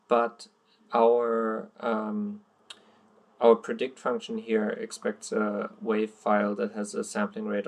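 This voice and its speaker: A man's voice: lowish voice, gravelly. A young man whose first language is German, talking through code in a casual tutorial with frequent ums and uhs. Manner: hesitant, many ums and uhs, plain explaining. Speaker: coding tutorial presenter. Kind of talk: screen-recorded coding walkthrough.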